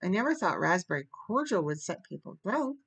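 A woman's voice: on the low side, normal speed, creaky. A woman voicing an earnest, dramatic young orphan girl in a storybook, imploring and effusive. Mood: tearful, wailing.

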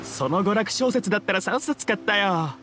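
An earnest male townsperson talks in a high voice for a man. He sounds like a devoted fan in an anime game, with music behind him. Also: lively intonation, normal speed, sharp tone. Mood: proud, enthusiastic. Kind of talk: anime video game acting.

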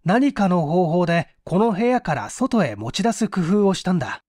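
A hot-headed, quick-reasoning sleuth in a mystery audiobook, played by a man. His voice is high and very lively, pushing each deduction forcefully. The sound is dry and close. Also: no room sound. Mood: insistent reasoning. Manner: forceful, emphatic, quick to conclude. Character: Asakichi, hot-headed, sharp-reasoning man playing detective.

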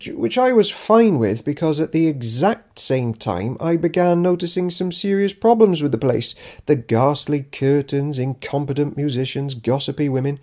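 A man's voice: a little high, dark tone. A man reading an audiobook as Judas Iscariot, a self-important, grudging disciple keeping a diary, in a dry, theatrical, pompous manner. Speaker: author reading own audiobook. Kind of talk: dramatic audiobook narration of a diary.

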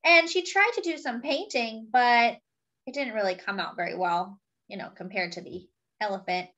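A woman reading a picture book aloud to young children and commenting on the pictures, expressively and with pauses for emphasis. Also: fairly high pitch, a bit bright, muffled recording. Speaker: children's storybook reader.

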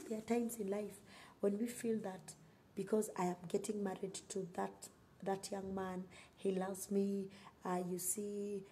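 Mellow Kenyan woman preaching. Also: medium pitch, slight background noise. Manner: emphatic, repeats phrases for effect. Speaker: online preacher. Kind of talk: sermon and prayer on a livestream.

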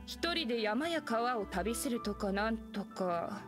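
Composed, high-voiced innkeeper. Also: female voice, animated, unhurried, over music. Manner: composed, polite, feminine wording. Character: composed, courteous adult female inn proprietor. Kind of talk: Japanese game dub acting.

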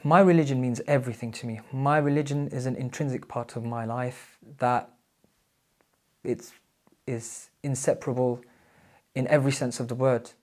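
A man with a British accent giving measured personal testimony, repeating words as he goes. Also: mid-range voice, fairly even intonation. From Britain. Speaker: anonymous interviewee.

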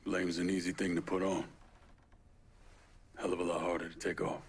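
A tough young American in a game drama. His voice is deep, gravelly and husky, with terse, stoic delivery. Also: little pitch movement, moderate pace. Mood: stoic, measured. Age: young adult. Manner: terse, understated. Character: stoic, tough young war veteran turned avenger. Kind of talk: video game cutscene acting.

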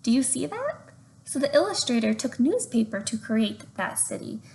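A young woman doing an expressive read-aloud for children, with chatty questions to her listeners. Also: fairly high pitch, moderate pace, creaky. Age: young adult. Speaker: storyteller reading to children. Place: open living room at home. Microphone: webcam at arm's length. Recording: slightly noisy home webcam recording.

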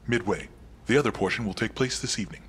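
A crime boss's unflappable adviser in a game drama. He talks fast in a lowish voice, with clipped, formal, deadpan phrasing. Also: breathy edge. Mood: dry, measured. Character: unflappable, formal male right-hand man to crime boss. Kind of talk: cinematic game dialogue.

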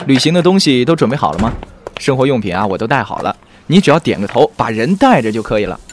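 A man's voice: mid-range voice, very animated, quick pace, a little hiss or hum, close to an everyday voice. A man playing a devoted, eager young boyfriend in an audio drama, with a coaxing, doting manner.

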